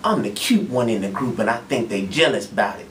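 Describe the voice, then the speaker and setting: A man's voice: fairly low pitch, sing-song, a little fast, a little hiss or hum. A man playing a vain backup singer in a soul group who brags in drawling slang, recorded from a distance in an echoey church room. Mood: boastful. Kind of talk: comedy sketch acting. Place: church building indoors.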